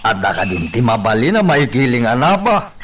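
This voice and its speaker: A man playing a bossy landowner giving orders in a deliberate, authoritative way in a radio drama. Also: mid-range voice, sing-song, background noise, natural voice. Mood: commanding. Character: bossy male landowner instructing his farm overseer. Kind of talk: Philippine radio drama acting.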